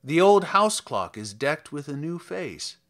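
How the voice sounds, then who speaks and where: A man voicing an old, reflective country priest in a dramatic verse reading, telling tales in a measured, plainspoken way. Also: a little high, big pitch swings, a bit bright, full character voice. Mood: matter-of-fact.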